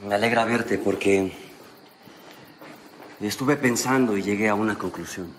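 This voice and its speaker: A man's voice: fairly low pitch, measured pace, a little hiss or hum, lightly acted. A man playing an ardent, insistent telenovela lover. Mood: sincere. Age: 30s. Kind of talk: telenovela acting.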